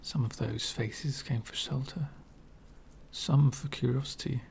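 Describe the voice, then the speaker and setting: An elegant centuries-old vampire lord, acted by a man, speaks in a soft ASMR roleplay. His delivery is very slow and slips often into whispers, with a gravelly fry underneath. He is courtly, wry and faintly condescending toward mortals, over faint background ambience. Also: mid-range voice, fairly even intonation, slight background noise. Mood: gently hospitable. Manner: soft-spoken, often dropping into breathy whispers; courtly, archaic, gently condescending.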